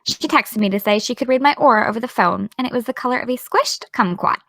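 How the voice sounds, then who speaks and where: A woman playing a caring, gently teasing young woman in an audio drama, speaking in a casual, slightly tentative way. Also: a little high, fast talker, dry recording. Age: young adult. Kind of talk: naturalistic audio drama dialogue.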